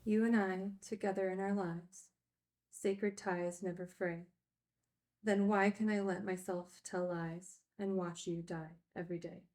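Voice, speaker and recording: An actress playing a candid, wry recovering addict who teaches at a group home, in a naturalistic screenplay read. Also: female voice, lowish voice, flat delivery, unhurried, a little mellow, close to an everyday voice. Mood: sorrowful.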